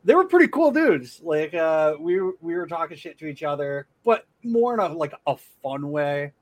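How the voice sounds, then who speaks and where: A man's voice: a little high, big pitch swings, moderate pace. Male podcast host, slightly raised voice, loose and profane delivery with stumbles. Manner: loose and profane, with frequent restarts. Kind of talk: casual sports chat.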